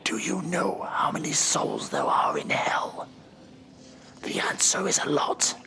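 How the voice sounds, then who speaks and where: In a horror ASMR role-play, a man acts out an impatient demon who judges the souls entering Hell. The voice is mostly a breathy whisper that moves slowly and deliberately. It swings widely in pitch as it scolds and commands with weary authority. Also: huge pitch swings, bright, forceful tone, heavily acted. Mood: exasperated, instructing.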